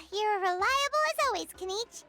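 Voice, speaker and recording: A woman's voice: very high voice, unhurried, bright, forceful tone, faint music behind, heavily acted. A woman voicing a small, chatty, eager floating companion with a childlike manner in an anime-style video game. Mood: inquisitive.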